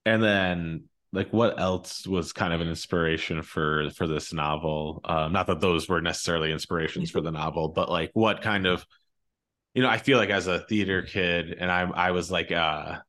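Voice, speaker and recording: Low-voiced man asking casual interview questions. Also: steady tone, moderate pace, fairly bright tone. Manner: rambling, informal questions. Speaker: podcast interviewer.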